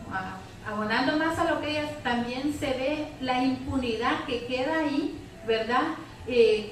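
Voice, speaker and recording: Female panelist, high voice, conversational, far from the mic. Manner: conversational, frequent tag questions. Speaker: panelist at a public debate. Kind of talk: spontaneous spoken commentary at a public debate. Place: public debate room, picked up from afar. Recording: distant, very echoey room, faint noise.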